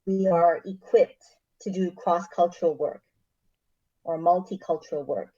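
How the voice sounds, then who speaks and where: Canadian woman missionary, slow careful answers, soft mellow tone, kinda muffled audio. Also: lowish voice. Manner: measured and thoughtful, with frequent pauses and fillers. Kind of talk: interview answers. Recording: dull lo-fi recording.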